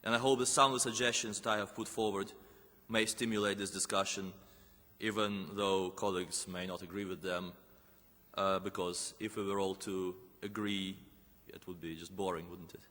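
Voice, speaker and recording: A man's voice: low voice, little pitch movement, normal speed, sharp tone. A man closing his conference presentation courteously, posing a rhetorical challenge to colleagues. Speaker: conference speaker. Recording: echoey conference hall.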